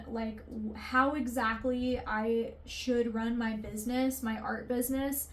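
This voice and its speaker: Woman, 20s, confessional vlogger; unhurried, rambling, emotional delivery, slightly high. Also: a little mellow. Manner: rambling, emotional, swears casually, many fillers. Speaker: artist vlogger. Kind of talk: candid confessional vlog.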